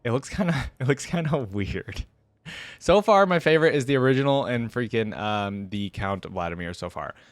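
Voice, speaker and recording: A man with a mid-range voice hosts in a casual, off-the-cuff way. He chats informally and thinks out loud as he reacts. The sound is dry, with no room on it. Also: mid-range voice, expressive, moderate pace, dry recording. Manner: informal, chatty, thinks aloud. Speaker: host of a reaction video.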